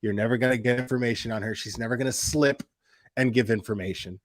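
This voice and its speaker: A man's voice: medium pitch, fairly even intonation, fast, no echo. A man giving casual, sarcastic reaction commentary, mocking and swearing, hammering points home through emphatic repetition. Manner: profane. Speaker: host of a commentary stream.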